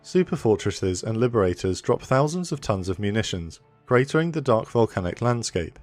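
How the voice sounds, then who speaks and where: Low-voiced male history documentary narrator. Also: very animated, normal speed, a little mellow, no room sound, faint music behind.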